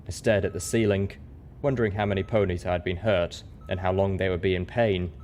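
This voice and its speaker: A man's voice: low-pitched, steady tone, brisk, darkish tone, a little hiss or hum. A man reading scripted first-person fiction as the narrator of an audio story.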